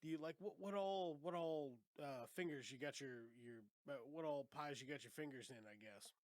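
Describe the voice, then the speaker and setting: A man's voice: fairly high pitch, moderate pace, dry recording. A young man hosting a podcast in loose, profane casual chat, sounding congested. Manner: loose, profane, laughs often. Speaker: podcast host.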